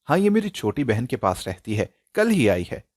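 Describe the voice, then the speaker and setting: A man's voice: medium pitch, very animated, moderate pace, a little mellow, dry recording, clean studio sound. A man voicing a stern, controlling mother. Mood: evasive. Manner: stern, curt, commanding. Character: strict, controlling middle-aged mother, sharp and evasive.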